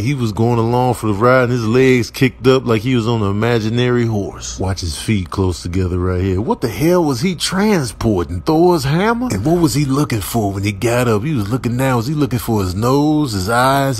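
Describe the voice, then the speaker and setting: A man's voice: medium pitch, breathy edge. A man doing slangy, exclamatory comic voiceover commentary on clips. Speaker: comedy voiceover artist.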